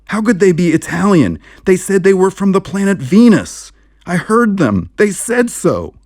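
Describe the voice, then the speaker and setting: A man voicing an excitable, exasperated character in an audiobook, pleading and yelling in an agitated way. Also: normal speed, no echo, clean studio sound. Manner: agitated, high-strung yelling.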